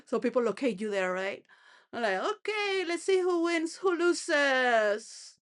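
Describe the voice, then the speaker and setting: A woman's voice: high-pitched, very animated, slow. A woman rambling through a personal monologue, full of restarts and wandering thoughts, sometimes laughing between phrases. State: homeless.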